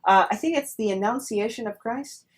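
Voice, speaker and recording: A woman's voice: fairly high pitch, normal speed, husky. A woman hosting a podcast in casual conversation, with a hesitant, wry way of talking. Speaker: podcast host. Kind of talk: casual interview chat.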